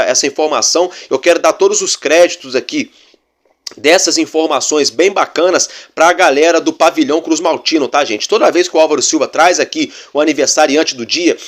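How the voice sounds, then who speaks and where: Chatty Brazilian man talking football. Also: on the high side. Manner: chatty, repeats fillers like "né" and "galera". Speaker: fan channel host. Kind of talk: casual football fan commentary.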